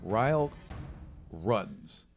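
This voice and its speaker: Low-voiced man game-mastering, music behind. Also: lively intonation, extremely slow. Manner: conversational, encouraging the player. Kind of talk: improvised narration of a tabletop fantasy game.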